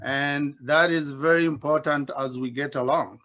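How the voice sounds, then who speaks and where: A middle-aged man from Uganda, giving a reflective talk with gentle self-mocking humor from his home basement. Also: fairly high pitch, fairly even intonation, moderate pace. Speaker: invited speaker, doctoral student. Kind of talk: personal reflective talk at an online symposium. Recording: dull, muffled video-call audio.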